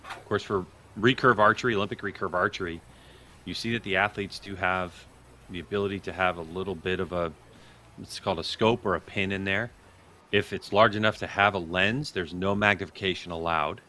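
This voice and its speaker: A man's voice: low voice, fairly even intonation, a little fast, pushed and bright. A man hosting a live sports watch party, commentating on the action as it happens. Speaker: host and commentator. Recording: noticeable background noise.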